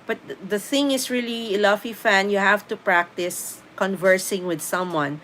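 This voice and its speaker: A Filipino woman hosts a relaxed live stream, reading out viewer comments and answering them in a chatty, easygoing way, slipping into Tagalog now and then. Her voice sits in a middle pitch and has a Filipino accent. A faint ambient noise hangs under the recording. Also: normal speed, poor audio. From Philippines. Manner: chatty, reads comments aloud and answers, mixes in Tagalog, laughs often.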